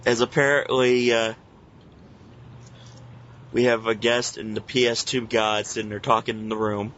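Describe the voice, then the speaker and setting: Young male co-host, middling pitch, casual chatting, muffled recording with slight background noise. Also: level tone, moderate pace, fairly bright tone. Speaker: podcast co-host. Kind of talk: casual group banter. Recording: dull lo-fi voice chat, faint noise.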